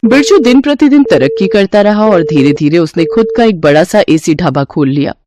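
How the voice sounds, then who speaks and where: A woman's voice: lowish voice, lively intonation, normal speed, very noisy. A woman narrating a scripted story as the storyteller.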